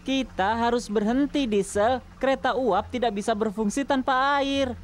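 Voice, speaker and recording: A woman's voice: fairly high pitch, normal speed, close to an everyday voice. A woman voicing an earnest, hardworking young male steam engine in a children's cartoon dub, eager, determined and polite.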